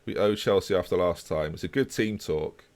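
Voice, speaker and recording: A man's voice: low-pitched, normal speed. A man casually chatting with his live-stream viewers while playing a football management game. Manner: relaxed, chatty, talks to chat while playing.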